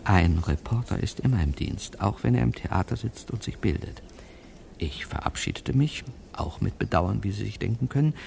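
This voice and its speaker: A man's voice: lowish voice, expressive, normal speed, creaky, darkish tone, slight background noise. An actor playing a wry, self-satisfied male newspaper reporter, chattily narrating his own story in a radio crime drama. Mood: wry, storytelling.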